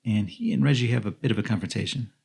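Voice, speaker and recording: A man narrates a film recap as a podcast co-host. His voice is fairly low and husky, with a marked creak running through it. He tells the story in plain narration. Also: fast, darkish tone.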